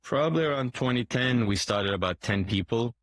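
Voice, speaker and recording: A man in his forties who grew up in Turkey, a boat dealership owner answering interview questions in a hesitant way, with many fillers and restarts and frequent throat clearing. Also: medium pitch, lively intonation, brisk, dry recording.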